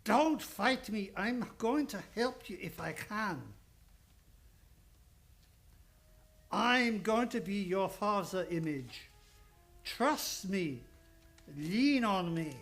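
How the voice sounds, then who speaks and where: A man's voice: sits high, animated, slow, a bit bright, faint music behind, full character voice. A man playing a fatherly older psychoanalyst in an old-time radio drama, coaxing and insistent, with slightly broken phrasing. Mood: reassuring, firm. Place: radio studio, live radio play.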